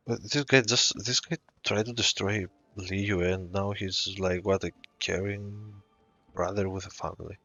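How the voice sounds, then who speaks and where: A man's voice: low-pitched, fairly even intonation, measured pace, pushed and bright. A man giving casual game commentary as a streamer, joking and sardonic. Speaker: gaming streamer. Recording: dull, echoey room recording.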